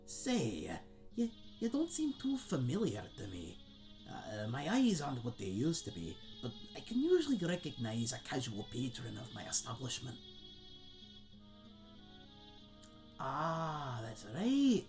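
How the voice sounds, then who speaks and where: Man acting a folksy old tavern keeper, animated pitch. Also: moderate pace, faint music behind. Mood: folksy, forgetful. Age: older. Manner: rambling, folksy, hesitant, chuckling aside to a guest. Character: friendly old male tavern keeper, folksy, chatty, self-deprecating. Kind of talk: fantasy audio roleplay, addressed to the listener.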